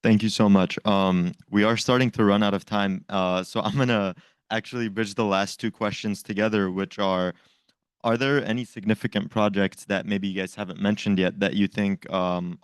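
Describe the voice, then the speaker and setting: A man's voice: fairly low pitch, fairly even intonation, normal speed, smooth, no echo. A man moderating a student panel, asking questions hesitantly with many fillers. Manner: hesitant, frequent ums.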